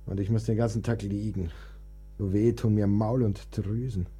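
An actor in a radio play, playing a frail, melancholic composer who is weary, plaintive and self-pitying. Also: male voice, fairly low pitch, fairly even intonation, moderate pace, mellow, breathy edge.

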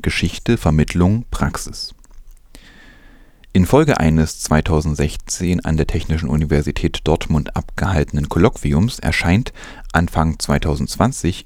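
A man's voice: low voice, a little mellow, no echo, studio quality. A man presenting news, reading from a script in a narration style.

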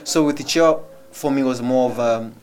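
A man's voice: medium pitch, brisk, a little mellow. A man from Malawi, a theater actor, speaking in casual conversation in a self-deprecating way and laughing often.

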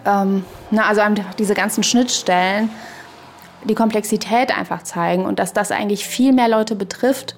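A woman in a reflective podcast conversation, speaking in a halting, searching way and often adding little tag questions. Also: mid-range voice, fairly even intonation, slight background noise.

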